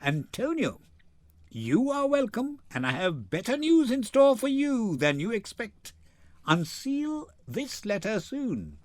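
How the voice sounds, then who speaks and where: A man's voice: high voice, very animated, slow pace, dry recording, pitched above the performer's own voice, heavily acted. An older man voicing a witty, commanding young noblewoman in a poised, theatrical Shakespeare reading. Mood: poised, authoritative.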